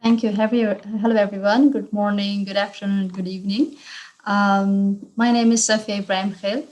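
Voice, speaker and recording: A woman from Afghanistan, a panel guest on a video call, giving personal testimony in a steady, even way. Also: medium pitch, normal speed, poor audio.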